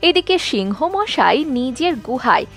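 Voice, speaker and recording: Female children's story narrator, high-pitched, extremely animated, faint music underneath. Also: moderate pace, gravelly.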